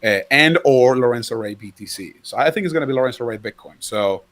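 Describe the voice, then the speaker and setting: A man's voice: medium pitch, animated, fast, fairly bright tone, no echo. Venezuelan man, dry close voice. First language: Spanish. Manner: hesitant, many fillers and restarts. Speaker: guest. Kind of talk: casual chat.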